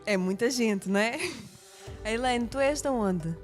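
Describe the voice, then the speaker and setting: A woman's voice: fairly high pitch, normal speed, faint music behind. A woman with a European Portuguese accent hosting a conversational interview programme for women. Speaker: host of a women's church programme.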